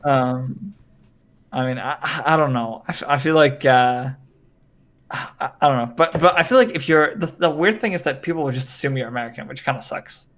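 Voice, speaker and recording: A man's voice: medium pitch, normal speed, a bit bright. A man from Denmark chatting casually on a livestream, rambling with many hesitations. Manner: rambling, hesitant, lots of fillers and restarts. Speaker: streamer.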